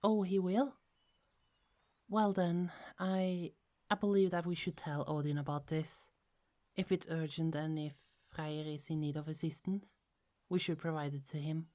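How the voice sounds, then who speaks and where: A low, mellow female voice, as a woman acts it out, plays a gentle goddess who guards her precious apples. She speaks softly and earnestly and often stumbles before she finds her words. The tone stays warm and never turns sharp. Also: level tone, a little slow. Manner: soft, earnest and a bit hesitant, with small stumbles. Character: gentle young goddess keeper of golden apples. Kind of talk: ASMR audio roleplay.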